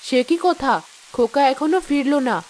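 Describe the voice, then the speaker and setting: High-voiced woman, stern and scolding. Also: normal speed, darkish tone. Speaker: narrator and voice of the stern mother-in-law. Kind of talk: cartoon voice acting.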